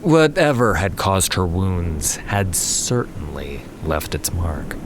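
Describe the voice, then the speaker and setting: A man with a low-pitched voice narrates a fantasy tale. His delivery is slow, measured and solemn, with faint ambience running behind the words. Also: expressive, background noise. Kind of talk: scripted fantasy story narration.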